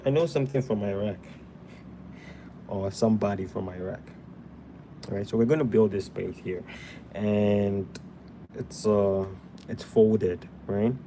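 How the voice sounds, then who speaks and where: A young man from Ghana talks through a sketch in an unhurried, relaxed way, answering viewers between strokes. His voice is low and mellow, recorded indoors at his drawing desk with a faint room ambience behind him. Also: a little hiss or hum. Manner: relaxed, chatty, answers live chat while sketching. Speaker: drawing livestream host. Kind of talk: live drawing tutorial chatting with viewers.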